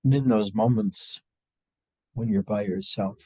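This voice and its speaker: A man with a husky, fairly low voice leads a guided meditation, recorded close and dry. He talks as a spiritual teacher to his group, unscripted and halting. Long pauses separate his short phrases. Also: mellow, no room sound.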